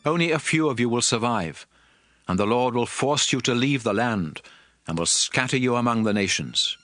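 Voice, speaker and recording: A man's voice: medium pitch, lively intonation, normal speed, fairly bright tone, dry recording, lightly acted. A man playing a stern, aged prophet exhorting his people in a solemn, emphatic dramatized scripture reading. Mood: stern warning. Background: music.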